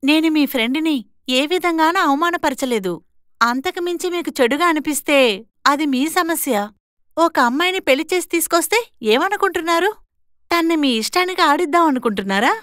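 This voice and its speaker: A woman plays a bold young sister in a cartoon moral tale. Her voice is high and dry, with no room around it. She talks back firmly and scolds like someone who will not be pushed around. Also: animated, brisk, a bit bright, no echo. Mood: defiant, indignant. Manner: assertive, scolding. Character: bold, defiant young twin sister standing up to her in-laws. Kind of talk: moral story cartoon dialogue.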